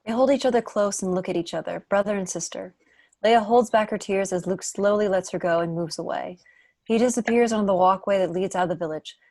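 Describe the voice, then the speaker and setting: A woman's voice: medium pitch, brisk, mellow, dry recording. A woman reading scripted stage directions as the narrator of a table read on a video call. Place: indoor room on a video call.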